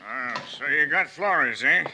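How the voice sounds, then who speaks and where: An actor playing a crooked, shifty, middle-aged sheriff who blusters and dodges questions in a 1950s radio western. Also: male voice, on the high side, lively intonation, a little slow, background noise. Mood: scheming. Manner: blustery, evasive.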